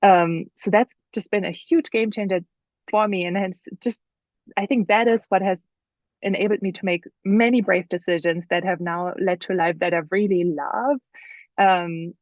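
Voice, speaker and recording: A woman's voice: on the low side, no echo, dull audio. A woman with a German accent, a guest author telling her story in a casual podcast interview, earnest and thoughtful with many fillers.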